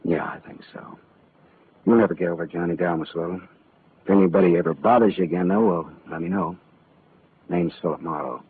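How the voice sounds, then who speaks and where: Male actor as a laconic hard-boiled detective for 1940s radio drama; low voice, tinny broadcast. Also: brisk, long pauses, background noise, close to an everyday voice. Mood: guarded. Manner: dry, laconic first-person narration. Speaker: lead actor. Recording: tinny old radio broadcast with loud music.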